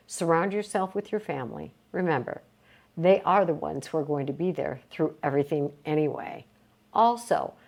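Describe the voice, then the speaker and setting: A woman reading a motivational self-help book aloud, with emphatic, deliberate phrasing and short pauses. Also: medium pitch, darkish tone. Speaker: reader of a book read aloud.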